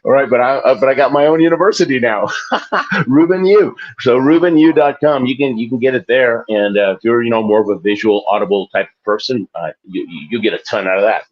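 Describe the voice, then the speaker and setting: Male guest, mid-pitched, animated and restart-prone, lo-fi dull audio. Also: a little fast. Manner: animated, laughs often, many restarts. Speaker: guest, author. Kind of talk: casual interview talk.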